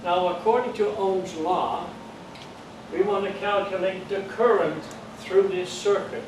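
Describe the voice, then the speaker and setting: An older man with a Dutch accent teaches an electronics class in a patient, step-by-step way, checking in with the room. His voice sits a little high for a man. It is picked up from across a very echoey room, with a clear hiss of background noise. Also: a little slow. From Netherlands. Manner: plain, patient explaining with jokes and check-ins. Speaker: amateur radio course instructor. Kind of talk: classroom lecture. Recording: echoey room from far off, noisy.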